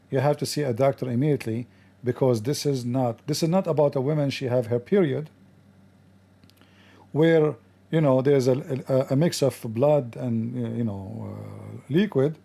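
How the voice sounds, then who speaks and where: Male host, gravelly, mellow and dark-toned, halting non-native English, mocking commentary. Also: a little high. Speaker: channel host. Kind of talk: casual commentary, quizzing an AI assistant.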